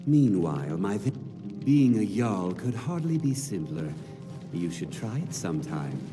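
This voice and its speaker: An actor playing a smug, pompous young lord in a fantasy video game. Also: male voice, lowish voice, sing-song, a little slow. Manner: pompous, self-satisfied. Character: smug, pompous young male Jarl, privileged noble. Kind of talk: video game character dialogue. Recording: long hall reverb, music behind.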